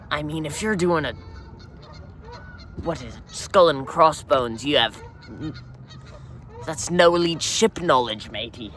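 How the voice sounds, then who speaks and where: A crude, swaggering pirate who rambles through offhand rants, dropping "matey" at every turn, as a man puts him on. The voice is bright and forceful, with a clear edge, over faint outdoor noise. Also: medium pitch. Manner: pirate slang, keeps saying "matey". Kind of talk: comic character monologue.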